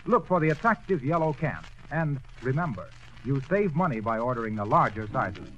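A male radio announcer reading a scripted commercial in a smooth, persuasive sales voice. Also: mid-range voice, big pitch swings, fairly quick. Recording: dull 1930s radio recording with faint hiss.

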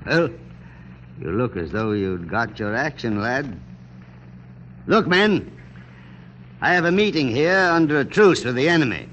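Casting: stately revolutionary general, grave and formal, widely varied pitch, vintage radio hiss. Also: male voice, big pitch swings, a little slow, pauses often, fairly bright tone, lo-fi sound. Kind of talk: 1940s-50s radio drama acting. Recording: faintly noisy old radio recording.

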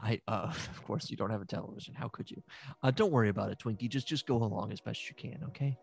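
A man playing a flustered, rambling therapist who stammers and slips into a hushed meditation voice, in an improvised comedy. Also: lowish voice, quick pace, gravelly, breathy edge. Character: bumbling, flustered male therapist. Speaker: therapist character.

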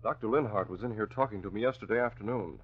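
A calm, thoughtful newspaper boss in an old radio drama. His voice is low and a little rough, measured and serious. The vintage recording sounds dull. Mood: grave, thoughtful. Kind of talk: 1940s radio acting.

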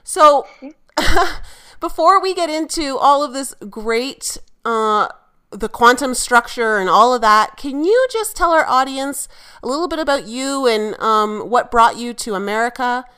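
A woman's voice: high-pitched, animated, a little slow, sharp tone, clear, resonant tone. A woman co-hosting a podcast, introducing the episode and her guest in a bubbly, upbeat way and laughing easily. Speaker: podcast co-host.

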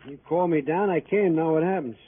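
An actor playing an uneasy, blustering fight manager in a 1950s radio crime drama, excitable and patronizing. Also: male voice, fairly high pitch, fast talker, lightly acted. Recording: tinny, hissy old radio recording.

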